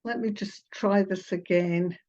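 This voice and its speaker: An older woman from Scotland with a Scottish accent softened by Australian tones, a therapist presenting a webinar with hesitant, apologetic talk full of fillers. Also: medium pitch, fairly even intonation, measured pace. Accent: Scottish, partly Australian. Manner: hesitant, apologetic, with filler sounds and little laughs. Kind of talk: online presentation. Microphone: video call. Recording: muffled video call audio.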